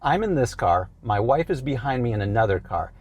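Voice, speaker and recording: A man's voice: medium pitch, big pitch swings, brisk, a little mellow. A man presenting a product range test in a casual, conversational way from inside a car.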